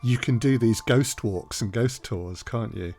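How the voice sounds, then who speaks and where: A man's voice: medium pitch, a little slow, darkish tone, faint music behind. A man from Leicester with an English accent, hosting a casual podcast interview in a musing, conversational way. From Leicester, England. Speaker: podcast host.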